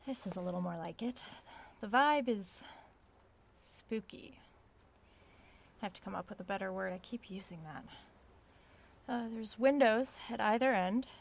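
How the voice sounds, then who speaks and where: An actress playing a curious, self-aware researcher in an audio drama, recording a spoken log in a conversational, measured way. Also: female voice, medium pitch, creaky. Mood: musing, casual. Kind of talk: audio drama log, reading aloud and musing.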